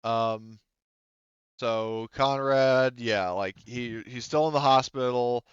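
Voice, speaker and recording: A man running a tabletop game as game master, improvising narration in a casual, halting, conversational way on slightly dull audio. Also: mid-range voice, clean tone, no echo.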